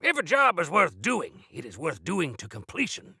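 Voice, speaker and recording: An actor playing a proud, stalwart older leader of mountain folk in a video game, with a rough gravelly voice and theatrical, old-fashioned formal phrasing. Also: male voice, fairly high pitch, wildly swooping pitch, normal speed, pushed and bright, dry recording, clean studio sound, heavily acted. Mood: solemn, measured. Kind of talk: video game character acting.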